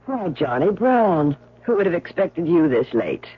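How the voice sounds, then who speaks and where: Teasing, seductive patroness in radio drama, lively melodic delivery, slightly muffled broadcast. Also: female voice, on the low side, a little slow, slight background noise. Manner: teasing, flirtatious. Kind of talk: 1970s radio drama acting.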